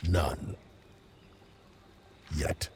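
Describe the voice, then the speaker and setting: An actor playing a grim, vengeful undead killer in a game, with a gravelly rasp and a terse, menacing delivery. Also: male voice, extremely slow, darkish tone, a little hiss or hum. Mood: dry, menacing. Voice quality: very deep gravelly rasp, at times breathy. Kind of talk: cinematic game acting.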